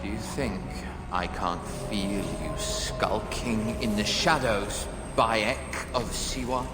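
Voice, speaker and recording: An actor playing an arrogant, megalomaniac Roman commander in a video game, taunting and grandiose. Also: male voice, lowish voice, steady tone, takes it slowly. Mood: cold menace. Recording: echoey cavernous reverb, loud music.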